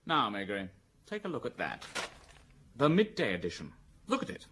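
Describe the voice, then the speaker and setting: A man's voice: medium pitch, huge pitch swings, normal speed, pushed and bright, slight background noise. A man acting an impatient, officious examining magistrate in a radio drama, brusque and bossy, with a British accent. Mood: brusque, accusing. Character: impatient, literal-minded male examining magistrate, a bossy superior.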